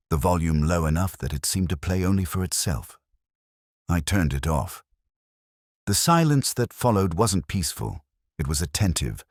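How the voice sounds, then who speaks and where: A man with an extremely low voice narrates detective fiction in the first person. His delivery is measured and reflective, with a literary turn and lively movement in pitch. The sound is dry, with hardly any room around it. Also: dry recording.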